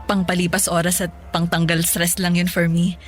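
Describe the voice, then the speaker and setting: A woman's voice: on the low side, flat delivery, moderate pace, lightly acted. Woman acting young office woman, Filipino accent, breezy, coy, Taglish chatter, radio drama, faint music behind. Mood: confessional.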